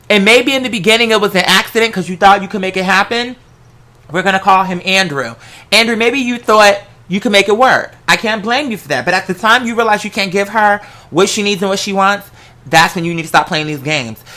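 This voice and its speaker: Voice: medium pitch, sharp tone. An American vlogger giving casual relationship advice in a sassy, playful, theatrical way, often calling the listener girl. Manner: theatrical, bursts into song. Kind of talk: casual animated advice chat.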